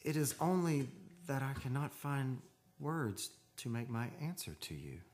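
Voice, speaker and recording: An actor playing an earnest, haunted man of seafaring stock in an old-time radio drama, speaking in a solemn, measured, poetic way. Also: mid-range voice, very animated, darkish tone. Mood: awed, halting. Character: earnest, haunted seafarers' descendant telling his eerie tale. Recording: live radio studio with music behind.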